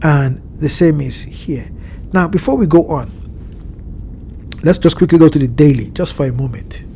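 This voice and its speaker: A man with a blocked nose and a slightly nasal voice, walking through a chart with measured explanations and frequent pauses to find his words. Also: a little high, warm tone, a little hiss or hum. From Southern Hemisphere. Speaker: crypto market analyst. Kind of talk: screen-share chart walkthrough. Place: home at evening, indoors.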